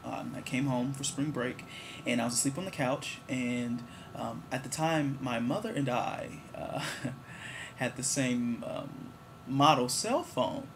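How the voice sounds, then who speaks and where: A man's voice: mid-range voice, unhurried, creaky, a little hiss or hum. A man in his twenties, answering viewer questions in a candid, rambling, joking way. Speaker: vlogger. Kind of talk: casual talk to camera.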